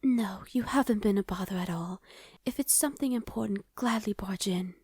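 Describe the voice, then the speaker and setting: A woman's voice: medium pitch, moderate pace, darkish tone, husky, no echo, studio quality. A woman playing a proper, anxious young princess in a romantic ASMR roleplay, speaking in a genteel, hesitant way. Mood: relieved, gracious.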